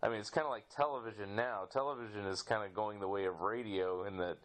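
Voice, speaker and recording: A man's voice: lowish voice, steady tone, quick pace, crowd noise. A middle-aged man hosting a podcast interview in a casual, rambling and slightly hesitant way. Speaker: podcast host.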